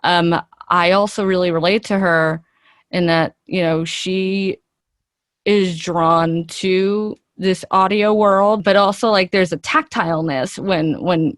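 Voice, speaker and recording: Low-pitched woman, casual interviewee. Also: dry recording, studio quality. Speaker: actress being interviewed.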